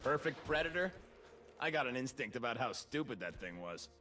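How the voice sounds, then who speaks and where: A man's voice: medium pitch, big pitch swings, fairly quick, bright, forceful tone, soft background music. A man voicing a cocky, flamboyant bird-man warrior in a video game, vain and mocking, with a theatrical, teasing delivery. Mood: scornful. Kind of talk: video game character voice lines.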